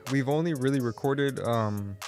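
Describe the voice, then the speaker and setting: A man whose first language is Spanish, a guest on a podcast, chatting casually in an easygoing, halting way and often agreeing with "exactly.". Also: medium pitch, darkish tone, faint music behind. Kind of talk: casual interview chat.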